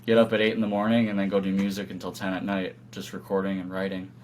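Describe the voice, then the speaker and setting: Low-voiced man over noisy hum. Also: completely flat delivery, fast talker, darkish tone. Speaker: band guitarist being interviewed. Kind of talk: casual interview chat. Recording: clear background hum and noise.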